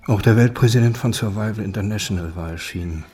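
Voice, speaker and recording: A man's voice: on the low side, fast talker, darkish tone. A man reading scripted documentary narration in a sober, factual manner.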